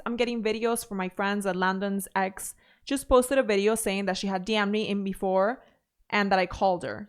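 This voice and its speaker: Woman host, mid pitch, chatty commentary voice with running asides. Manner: chatty, reads posts aloud with asides. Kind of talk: drama commentary reading posts.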